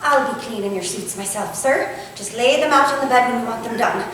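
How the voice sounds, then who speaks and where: An actress playing a curious, modest young Victorian housemaid with a colloquial, deferential way of talking, in a staged reading. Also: female voice, on the high side, brisk, fairly bright tone, natural voice. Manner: colloquial servant dialect, deferential. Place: indoor staged reading, distant microphone. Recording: echoey room from far off, faint noise.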